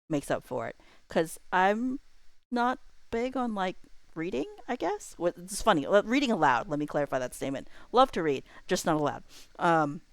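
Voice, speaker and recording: A woman's voice: low-pitched, clear, resonant tone, dry recording. A woman livestream host chatting casually, rambling and thinking aloud in an informal way.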